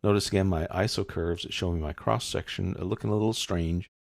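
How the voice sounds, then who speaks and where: A man narrating a step-by-step software tutorial as an instructor, explaining in an informal way. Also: deep voice, steady tone, a little fast, vocal fry, no room sound, studio quality.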